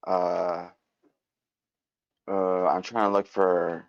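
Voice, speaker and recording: A man's voice: sits low, little pitch movement, extremely slow, gravelly, dry recording. A man giving informal, hesitant feedback, searching for words and repeating himself as he goes. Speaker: participant giving script feedback. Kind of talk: informal spoken critique. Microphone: online meeting mic. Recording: dry, muffled online-call audio.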